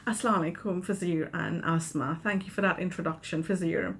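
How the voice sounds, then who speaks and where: A woman, a teacher explaining things calmly in a video message from home. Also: lowish voice, a little fast, slight background noise. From Trinidad and Tobago. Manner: calm, explanatory, with fillers. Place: small room at home, indoors. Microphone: webcam, video call.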